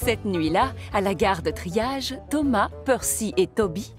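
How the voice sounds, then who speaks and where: A woman's voice: medium pitch, expressive, unhurried, over music. A woman narrating a children's story in a scripted storytelling style.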